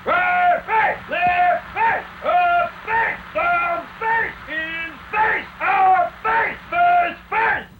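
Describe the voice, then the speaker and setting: A man's voice: high-pitched, big pitch swings, a little fast, gravelly, hardly any pauses, reverberant, phone-quality sound, very noisy, full character voice. A man voicing a bullying army sergeant who barks clipped commands in a cartoon, on an old film soundtrack. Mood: barking. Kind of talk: animated cartoon dialogue.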